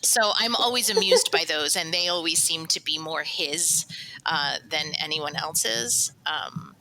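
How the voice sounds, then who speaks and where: A woman's voice: sits low, a little slow, pushed and bright, a little hiss or hum, rough recording. A woman talking casually in a group interview as a cast member of an audio drama.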